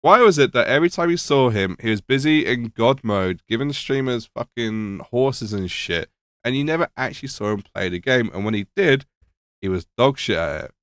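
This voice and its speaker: A man's voice: medium pitch, expressive, moderate pace, smooth, no room sound. Guy losing his voice, bit nasal, sarcastic, swings up and down a lot, dry close mic. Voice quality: slightly nasal. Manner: sarcastic, swears, mock-questioning asides. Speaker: YouTube commentator reacting to a video. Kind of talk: casual reaction commentary.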